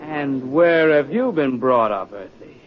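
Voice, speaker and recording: An actor playing a bullying, contemptuous imperial army lieutenant who barks sneering commands in an old-time radio drama. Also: male voice, mid-range voice, lively intonation, measured pace, lightly acted. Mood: sneering, commanding. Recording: muffled, noisy old radio recording.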